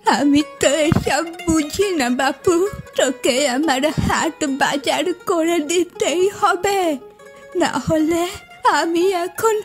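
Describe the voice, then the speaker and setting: A woman's voice: very high-pitched, slow, faint music behind, full character voice. A woman playing a wheedling, nagging elderly aunt in a cartoon fairy tale, plaintive and pushy. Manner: pleading, then threatening, plaintive.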